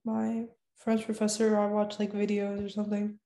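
A woman's voice: mid-range voice, monotone, moderate pace, mellow, roomy sound. A young woman whose first language is Spanish, chatting casually and laughing often, with lots of "like" fillers. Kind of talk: casual chat.

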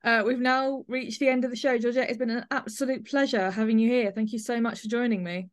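A woman's voice: fairly high pitch, steady tone, a little fast. A woman hosting a podcast in a conversational way, discussing a play with a guest. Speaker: podcast host.

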